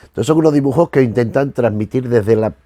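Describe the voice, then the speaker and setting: Man, medium pitch, informal commentary, digressive, colloquial, trailing sentences, retro show host, dry close sound. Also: fairly quick, warm tone, no room sound. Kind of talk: informal rambling commentary from notes.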